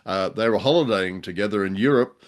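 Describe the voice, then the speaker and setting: A man's voice: fairly low pitch, brisk. A man co-hosting a podcast in casual conversation, with a curious, chatty, questioning manner. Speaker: podcast co-host.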